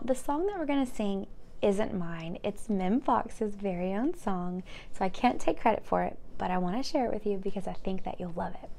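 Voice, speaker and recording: A woman in her thirties hosting a children's read-aloud, speaking in an animated, sing-song, encouraging way and putting questions to her young listeners. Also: medium pitch, creaky.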